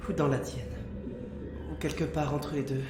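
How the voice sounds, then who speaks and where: A woman playing a gentle, emotional young heroine with a soft, earnest way of speaking, in an audio drama. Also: low-pitched, flat delivery, normal speed, lightly acted. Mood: calm, earnest. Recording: roomy sound under music.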